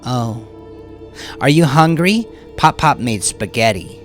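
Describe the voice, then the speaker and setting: A man's voice: mid-range voice, lively intonation, slow pace, soft background music, voice pushed up. A man voicing a tender, weary woman who humors her young niece, in an audiobook story. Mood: gentle, bright.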